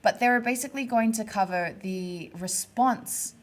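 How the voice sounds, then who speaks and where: A woman presenting a casual studio chat show in place of the usual host, talking in a friendly, relaxed, reassuring way. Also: a little high, moderate pace.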